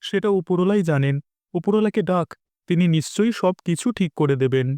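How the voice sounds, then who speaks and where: A man's voice: sits high, expressive, a little fast, darkish tone, dry recording, studio quality. A man voicing a worried, kindly village father in a cartoon, folksy and consoling in his talk. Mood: concerned, consoling. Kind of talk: dubbed cartoon dialogue.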